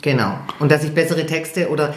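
A woman's voice: deep voice, fairly quick. A middle-aged woman singer talking casually as an interview guest, hesitant and full of fillers, with self-deprecating laughs. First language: German. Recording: echoey room.

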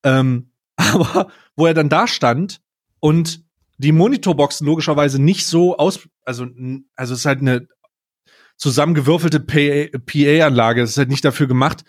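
A man's voice: fairly high pitch, normal speed. A man co-hosting a casual comedic podcast, telling stories in a rambling, mocking way. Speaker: podcast co-host.

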